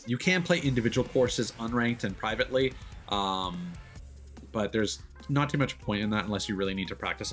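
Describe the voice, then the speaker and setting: A man giving casual, explanatory livestream commentary on a video game, thinking aloud with hesitant pauses. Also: lowish voice, fairly bright tone. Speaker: video game live streamer. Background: music behind the voice.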